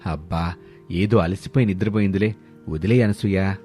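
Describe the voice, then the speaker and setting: Man playing a low-toned, kindly older father-in-law. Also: moderate pace, mellow, faint music behind, natural voice. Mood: placating.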